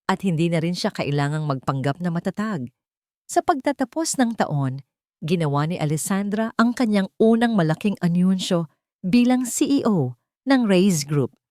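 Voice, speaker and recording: Filipino woman narrator with lively, swooping pitch. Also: dry recording, studio quality. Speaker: story narrator. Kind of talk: scripted dramatic audio story narration.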